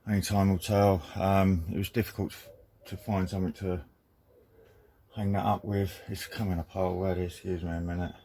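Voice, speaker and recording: A man with a croaky voice casually narrating a car repair job, chatty and self-deprecating, calling his viewers lovely people. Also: low-pitched, flat delivery, brisk, mellow, a little hiss or hum. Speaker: DIY car-repair vlogger.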